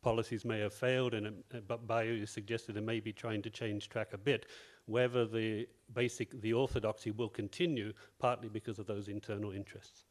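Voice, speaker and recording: A man speaks at a panel discussion in a low voice. He is measured and reflective, and he thinks aloud as he builds his point. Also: little pitch movement. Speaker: researcher at a conference. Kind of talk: floor comment in a panel discussion.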